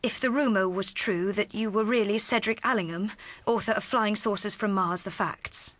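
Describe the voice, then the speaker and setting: An actress playing a gentle, concerned, well-bred English woman in a radio drama, polite and earnest. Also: medium pitch, normal speed, no echo, natural voice. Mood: apologetic.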